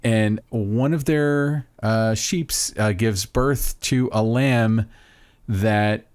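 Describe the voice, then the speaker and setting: A man's voice: on the low side, very slow, darkish tone, no echo, studio quality. A man co-hosting a podcast, talking enthusiastically and rambling about a film he loves. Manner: enthusiastic, rambling, self-interrupting. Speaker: podcast co-host. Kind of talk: casual podcast chat.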